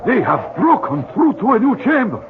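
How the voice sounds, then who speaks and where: Courteous latin american guide, very swoopy theatrical delivery, formal, old tinny radio play. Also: male voice, very high voice, wildly swooping pitch, normal speed, heavy background noise, heavily acted. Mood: solemn, watchful. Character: courteous, cautious Latin American man, expedition host and guide. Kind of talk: 1970s radio drama acting. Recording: muffled old radio broadcast, phone-like.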